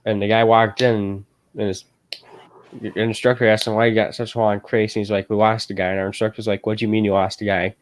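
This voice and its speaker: A young man with a fairly low voice joins a livestream as a guest and chats casually. He tells stories in a laid-back way and answers with short agreeing replies. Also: little pitch movement, a little fast. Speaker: guest, ag class student.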